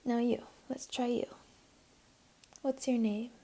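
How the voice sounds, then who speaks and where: A woman voicing a flirty, teasing, comforting jaguar beastling in an intimate ASMR roleplay, speaking softly and coaxingly in a half-whisper. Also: a little high, little pitch movement, slow. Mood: playful, warm.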